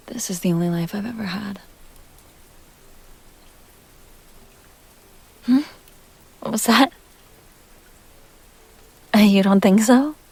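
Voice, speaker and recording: A woman performs as an immortal vampire heiress in an intimate ASMR roleplay. Her voice is husky and breathy, often sinking into a whisper with a crackle of fry. She is unhurried and coaxing, by turns teasing, tender and wistful. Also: medium pitch, a little mellow. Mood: melancholy, hesitant.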